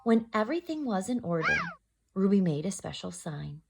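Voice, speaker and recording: A woman's voice: medium pitch, sing-song, normal speed, creaky, over music. A woman storyteller reading a children's picture book aloud expressively, addressing young listeners directly. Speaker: storyteller, host.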